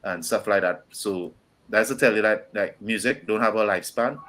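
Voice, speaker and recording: A man's voice: fairly low pitch, moderate pace, fairly bright tone. A middle-aged man with a Trinidadian accent, talking casually as a musician guest in an interview. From Trinidad and Tobago.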